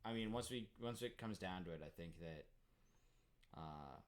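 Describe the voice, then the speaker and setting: A young American man co-hosting a podcast, his voice sitting on the low side. He rambles loosely and swears a lot. He laughs often as he talks. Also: normal speed. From United States. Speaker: podcast co-host. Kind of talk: casual chat.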